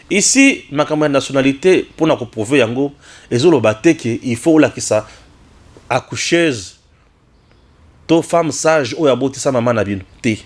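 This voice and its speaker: Male political commentator, Congolese accent, medium pitch, informal and emphatic, drives points home by repetition. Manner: emphatic, repeats phrases, asks rhetorical questions; switches between French and another language.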